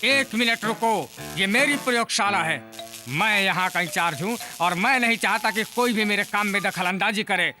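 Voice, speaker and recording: Irritable, domineering cartoon lab boss: high-pitched, sharp-edged, snappish. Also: male voice, normal speed, faint music behind. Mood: irritated, bossy. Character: irritable, bossy male cartoon inventor guarding his laboratory. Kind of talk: Hindi cartoon dub acting.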